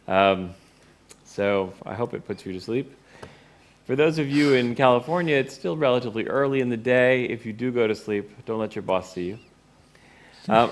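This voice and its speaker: A middle-aged man telling a personal story informally to an audience, with a wry way of speaking. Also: fairly low pitch. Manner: wry, acts out remembered dialogue. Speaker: presenter, course teacher and author.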